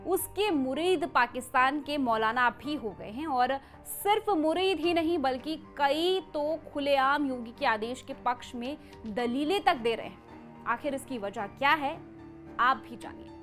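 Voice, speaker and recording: A woman's voice: high voice, measured pace, a bit bright, soft background music. A woman presenting scripted news in an emphatic, dramatic way. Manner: emphatic, dramatic delivery with rhetorical questions.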